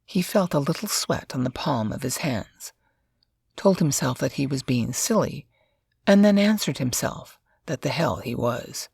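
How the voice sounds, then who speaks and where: A woman narrator with a husky, gravelly voice reads fiction aloud in a scripted storybook style. The recording is dry and close, with no room sound. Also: very deep voice, animated, unhurried, a little mellow, dry recording.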